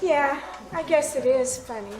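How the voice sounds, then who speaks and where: Actress as shaken woman survivor, very high pitch, pleading, radio thriller, roomy echo. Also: measured pace, a little mellow. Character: frightened, shaken woman seeking company in a disaster. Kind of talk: live old-time radio thriller acting. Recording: echoey live stage.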